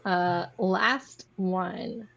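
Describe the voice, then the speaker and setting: A woman's voice: lowish voice, steady tone, extremely slow, creaky, fairly bright tone, highs cut off, faint music behind. A woman chatting casually with friends while she runs a quiz for the group. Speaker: quiz host in a group chat. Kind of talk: casual chat.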